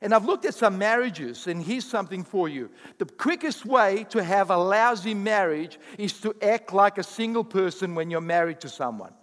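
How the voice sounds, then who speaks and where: A pastor delivering a Sunday sermon in a high voice, in English, which is his second language. He builds to emphatic rising bursts and drops into quick asides. The reverb trails off long, as in a church hall. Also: lively intonation. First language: not English.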